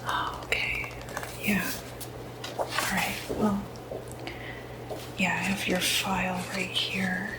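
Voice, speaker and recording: Whispering woman, slow ASMR clinic roleplay. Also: mid-range voice, completely flat delivery, heavy background noise. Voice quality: breathy, whispered. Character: soft-spoken clinic receptionist checking in a patient.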